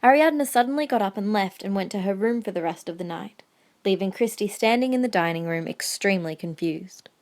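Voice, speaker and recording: A woman serves as narrator of an audio drama. Her voice sits at a medium pitch as she reads scripted story passages. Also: animated, brisk, a little mellow.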